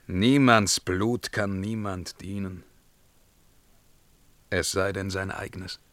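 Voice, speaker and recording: Casting: tormented emperor in radio verse drama; lower-pitched, theatrical, commanding yet world-weary, recorded very dry. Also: male voice, fairly even intonation, takes it slowly, dry recording. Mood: stern, searching. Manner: declamatory, swinging between outcries and hushed murmurs.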